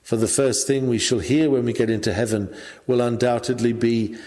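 Male sermon reader, mid-pitched, measured and emphatic oratory, hall-like reverb. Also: steady tone, warm tone. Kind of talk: sermon reading, scripted oratory.

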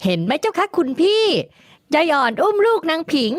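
A man's voice: very high voice, very animated, brisk, no room sound, clean studio sound, voice pushed up. A male narrator voicing a haughty, spiteful young woman who scolds shrilly and sweet-talks her master. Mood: wheedling.

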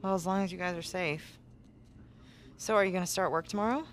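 Worried, loving wife played by a woman: American, natural and gentle, a little low, for a game. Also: a little fast, a little hiss or hum, natural voice. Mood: concerned, tender. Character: caring, worried wife on a phone call. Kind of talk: video game acting.

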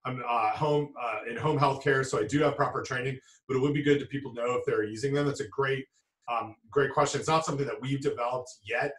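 A man in his forties answering audience questions as a food safety expert on a video call from a home office, halting and restarting often. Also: medium pitch, fairly even intonation, fairly bright tone. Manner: halting, many restarts and fillers. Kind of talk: live webinar Q&A. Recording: roomy video call audio, distant mic.

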